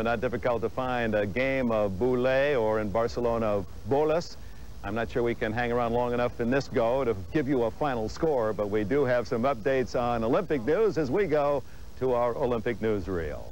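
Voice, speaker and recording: A man's voice: medium pitch, brisk, darkish tone, slight background noise, poor audio. A man presenting as host of a sports program in a scripted style. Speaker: television host.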